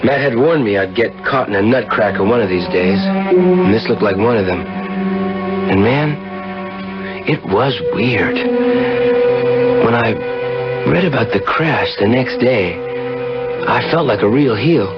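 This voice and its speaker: A man's voice: medium pitch, very animated, measured pace, long pauses. An actor playing a cocky, slangy car dealer who narrates his own radio drama story in a wry, hip tough-guy manner. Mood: brooding. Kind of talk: 1970s radio drama acting and first-person narration. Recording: dull old radio broadcast, music behind.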